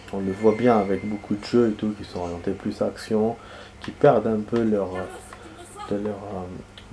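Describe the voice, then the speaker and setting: A man's voice: fairly low pitch, mellow, slight background noise. Nasal-sounding man with a cold chatting while gaming. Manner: rambling, many fillers and restarts. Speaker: gaming video host. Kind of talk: casual gameplay commentary.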